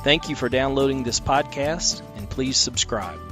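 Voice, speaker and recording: A male host reads a scripted podcast opener in a measured announcer style. His mid-pitched voice sits over a clear bed of instrumental music. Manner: announcer read. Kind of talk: scripted intro.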